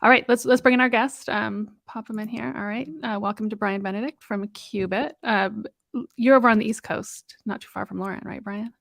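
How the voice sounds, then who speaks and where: A woman hosting a casual tech show, upbeat and quick to affirm her guests. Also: medium pitch, steady tone, creaky, dry recording, clean studio sound. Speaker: podcast host. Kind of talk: casual chat.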